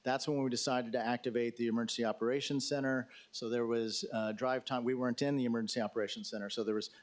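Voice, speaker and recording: Emergency official, male, mid-pitch, halting delivery, fillers and restarts, formal "sir". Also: fairly even intonation. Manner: hesitant, many fillers and restarts; addresses questioner as sir. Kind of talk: answering questions at a council briefing.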